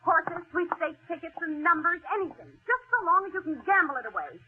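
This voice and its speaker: A bitter, scolding wife in an old 1940s radio drama. Her voice is very high and shrill and leaps about with sarcastic emphasis. The sound is tinny and narrow, like an aged broadcast. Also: animated, a little fast. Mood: nagging, scornful.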